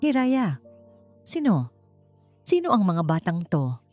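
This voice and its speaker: A woman's voice: lowish voice, wildly swooping pitch, slow, warm tone, no room sound, soft background music, exaggerated voice. A Filipino-accented woman voicing a cold, commanding, guarded male boss in a romance audio story. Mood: shaken, doubting. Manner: clipped, emotionless, commanding.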